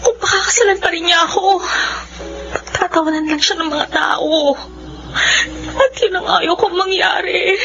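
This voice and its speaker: A woman's voice: very high-pitched, unhurried. A woman playing a wounded yet resolute young bride-to-be in a Filipino radio drama. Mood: tearful. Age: young adult. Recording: muffled audio under loud music bed.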